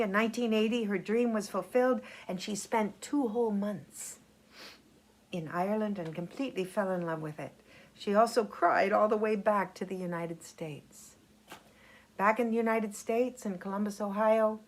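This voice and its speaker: A woman reading aloud as a storyteller, in measured, deliberate phrases. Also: mid-range voice. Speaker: storyteller, festival committee member. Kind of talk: read-aloud storytelling and scripted narration.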